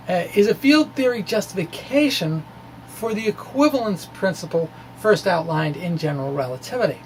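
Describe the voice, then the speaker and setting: A man giving an informal explanatory lecture in a halting, conversational way, with asides. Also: sits high, slight background noise, rough recording. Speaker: physics blogger presenting derivations.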